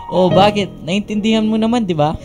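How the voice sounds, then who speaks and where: Cheeky flirt, slightly high voice. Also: male voice, a little mellow. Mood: teasing, flirty. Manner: teasing, cocky, playful. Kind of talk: Filipino radio drama acting.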